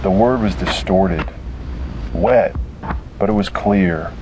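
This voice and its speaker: A man with a low, deep voice narrates a scripted horror story in the first person. He delivers it dramatically, in short and deliberate phrases. Clear rumbling background noise sits behind the voice.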